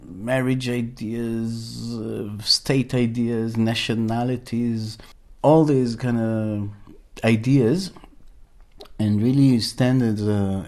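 A man's voice: medium pitch, slow pace, a little mellow. A middle-aged man from Israel, a workshop facilitator, speaking earnestly in an interview and searching for words as he goes. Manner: earnest, searching for words, many fillers and restarts. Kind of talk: interview conversation.

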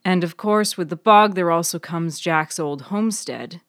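Woman voicing a low, coaxing woman adviser. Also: normal speed, no room sound, clean studio sound, lightly acted. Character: smirking, persuasive, advising woman.

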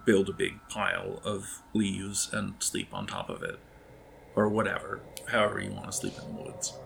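Gravelly low-voiced man running a game. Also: expressive, fairly bright tone, a little hiss or hum. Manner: halting, conversational, addresses players directly. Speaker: game master. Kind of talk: improvised tabletop game narration.

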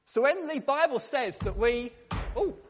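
Man, preacher, slow deliberate delivery, humorous storytelling, echoing church hall. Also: extremely high pitch, animated. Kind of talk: sermon. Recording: long reverb in a church hall.

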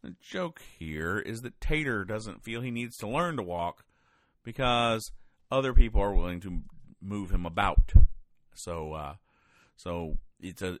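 Male podcast host, lowish voice, deadpan comic reading, dead-dry recording. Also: dry recording. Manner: dry, wry, reads characters' lines aloud.

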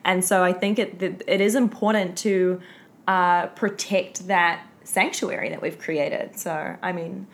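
A woman's voice: lowish voice, steady tone, a little slow. A woman from Auckland with a New Zealand accent, chatting casually as a podcast co-host. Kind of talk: casual chat.

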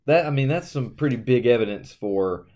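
A man's voice: medium pitch, animated, normal speed, darkish tone. A man co-hosting a casual Bible study discussion, talking conversationally with frequent fillers and reading verses aloud. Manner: conversational, reads scripture aloud, uses fillers. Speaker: podcast co-host.

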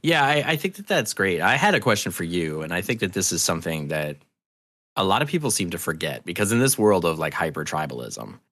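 Low-voiced man in casual conversation. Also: sing-song, brisk, a bit bright, dry recording. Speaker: podcast guest, writer.

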